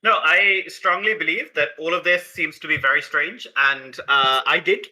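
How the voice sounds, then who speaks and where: Bright, higher-pitched man chatting. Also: normal speed, low-quality recording. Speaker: player. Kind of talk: casual tabletop game chat.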